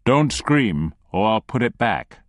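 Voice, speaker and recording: A man voicing a calm, cruel male thug who speaks in a soft, unhurried, mocking way. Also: on the low side, no echo, clean studio sound. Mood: quiet menace.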